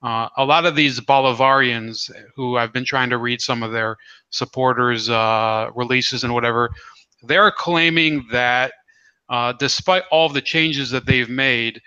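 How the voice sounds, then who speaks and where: A man hosting a casual interview in a halting way, as if thinking aloud. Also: medium pitch, fairly even intonation, bright, forceful tone, clear, resonant tone, no room sound, highs cut off. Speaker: interview host.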